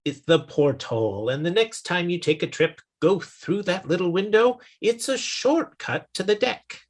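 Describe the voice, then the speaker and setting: A man playing a dry, exasperated middle-aged boss in a 1950s-style radio comedy, with sarcastic, drawn-out lines. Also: high voice, lively intonation.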